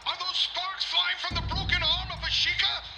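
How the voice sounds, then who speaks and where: Man as a very high-pitched, excitable fight announcer. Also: normal speed, vocal fry, loud music behind the voice. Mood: astonished excitement. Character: excitable arena fight commentator, showy and astonished. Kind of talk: sports commentary in radio drama.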